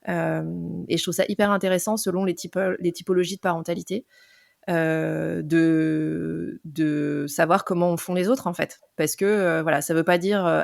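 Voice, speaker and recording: A woman's voice: on the low side, vocal fry, no room sound, studio quality. A woman in her forties, an entrepreneur and podcast guest, talking through her story with many hesitations and fillers. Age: 40s. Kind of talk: casual interview chat.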